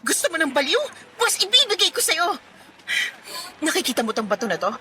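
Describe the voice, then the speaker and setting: A woman's voice: very high voice, very animated, fairly quick, pushed and bright, full character voice. A woman playing a jealous, furious young girlfriend in a melodramatic radio drama, confrontational, sarcastic and tearful.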